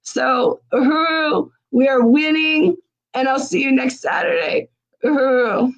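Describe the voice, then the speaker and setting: A woman's voice: sits high, measured pace, lo-fi sound. A woman hosting a livestream fundraiser, losing her voice, urging viewers with urgent, exhorting talk. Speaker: livestream host.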